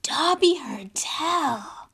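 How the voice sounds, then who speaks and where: A tiny, tearful male house-elf, as a woman reads him, squeaking far above ordinary speech with a gravelly, strained edge. His voice swoops wildly up and down as he pleads and fusses, always fervent and servile, like a creature from a storybook. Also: lively intonation, extremely slow, fairly bright tone, exaggerated voice. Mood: fearful. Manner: refers to himself in the third person, fervent and pleading.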